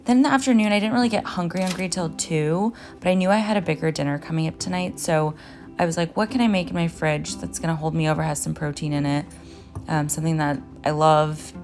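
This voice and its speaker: A woman in her thirties, originally from Finland, talks her viewers through a day of meals in a loose, friendly voice-over. Her voice sits low for a woman and comes with soft music underneath. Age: 30s. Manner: casual, chatty, upbeat, addresses viewers as guys. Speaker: nutritionist and vlogger. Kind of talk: what-I-eat-in-a-day vlog narration.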